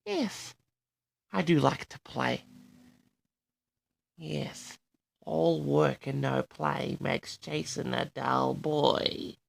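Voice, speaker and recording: A man in his sixties from Australia hosting a personal audio log, reminiscing in a rambling, halting and boastful way straight to his listeners. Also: on the high side, creaky. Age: 60s. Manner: boastful, halting, often addresses the audience.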